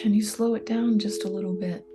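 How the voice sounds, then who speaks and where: Calm woman meditation guide, breathy near-whisper, steady unvaried tone. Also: mid-range voice, very noisy. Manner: soft, slow and soothing, often near a whisper. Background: soft meditation music with singing bowl tones.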